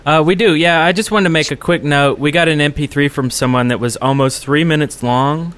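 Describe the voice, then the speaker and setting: A man's voice: fairly high pitch, brisk, a bit bright. A man hosting a gaming podcast in casual conversation, explaining his ideas in detail. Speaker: podcast host.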